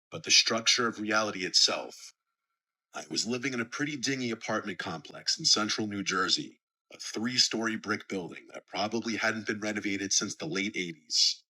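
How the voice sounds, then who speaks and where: An American man narrating scripted horror stories in a measured, serious storytelling manner. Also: on the low side, pushed and bright, breathy edge, poor audio. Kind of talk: scripted narration of scary first-person stories.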